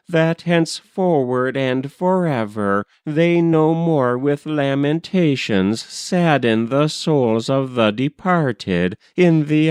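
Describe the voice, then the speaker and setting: A man voicing gentle, mournful ghosts of the dead in a grave, incantatory verse recitation. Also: mid-range voice, clean tone, dry recording. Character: gentle, mournful ghosts of the departed, solemnly counseling.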